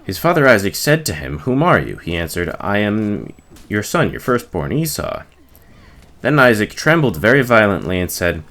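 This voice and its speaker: A man reading the Bible aloud from the text, giving the dialogue expressive emphasis in his own voice. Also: lowish voice. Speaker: reader.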